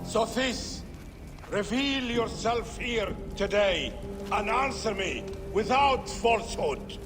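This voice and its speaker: An actor voicing a mystic seer who leads a ritual in a video game, speaking in a slow, incantatory chant. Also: male voice, high voice, expressive. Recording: game cutscene with music underneath.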